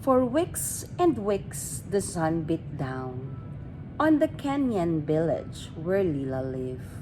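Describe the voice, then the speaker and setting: A woman reading a children's story aloud in an expressive, deliberate way, drawing out her words. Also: low-pitched. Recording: clearly noisy amateur recording.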